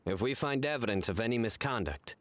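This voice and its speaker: An actor playing a stern, composed law enforcer in a video game, speaking in a serious, measured, restrained way. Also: male voice, medium pitch, animated, fairly bright tone, no room sound, natural voice. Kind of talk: video game character acting.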